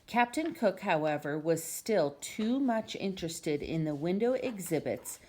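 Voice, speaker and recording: Female storyteller reading aloud to family: lively, swinging intonation on a lowish voice, expressive narration. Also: a little slow. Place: at home.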